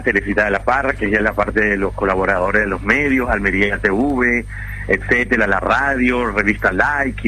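A man's voice: mid-range voice, low-quality recording. A middle-aged man from Venezuela, an event organizer telling his story at length on a radio interview. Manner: rambling, animated storytelling with restarts.

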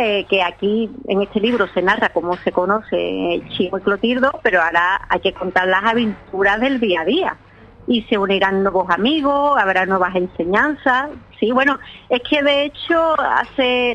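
A woman author from Seville with an Andalusian accent, chatting lively in a radio interview and often laughing. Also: mid-range voice, muffled recording, slight background noise. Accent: Andalusian Spanish from Seville. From Spain. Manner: lively, laughs often, talks in quick bursts. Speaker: children's author, guest.

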